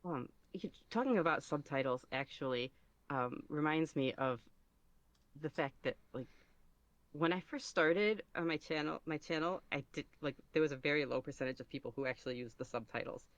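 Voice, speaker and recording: A man's voice: fairly high pitch, moderate pace, a bit bright, no echo, dull audio. A man speaking casually as an interview guest, rambling and somewhat self-conscious as he goes. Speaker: podcast guest, ASMR roleplay creator.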